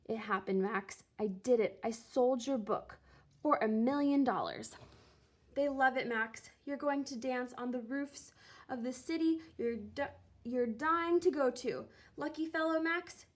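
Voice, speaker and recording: A gushing, boastful male agent from a storybook, played by a woman. He delivers his salesman patter slowly, full of congratulation. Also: high voice. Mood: triumphant, congratulatory.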